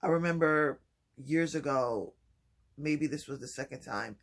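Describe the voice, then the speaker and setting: A man talking softly and haltingly in a personal vlog, with many pauses and restarts. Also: vocal fry. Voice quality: low voice. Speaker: vlogger. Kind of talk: personal vlog, reflective talk.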